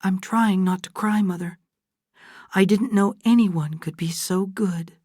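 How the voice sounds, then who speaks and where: A woman reading aloud and voicing a gentle, earnest young woman. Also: mid-range voice, unhurried, dry recording, clean studio sound, pitched above the performer's own voice, lightly acted. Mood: tearful.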